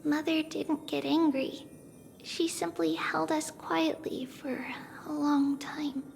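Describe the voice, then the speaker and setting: A woman playing a small, gentle, childlike demigod girl in a video game, speaking in a reflective, unhurried way as if older than she looks. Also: sits high, steady tone, vocal fry, slight background noise, heavily acted. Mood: tender, sorrowful. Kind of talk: scripted video game character acting. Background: background music.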